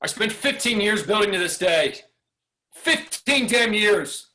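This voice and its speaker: A man's voice: high voice, animated, a little slow, bright, forceful tone, heavily acted. A man playing a bitter, furious gunman villain in a script table read, angry and accusing.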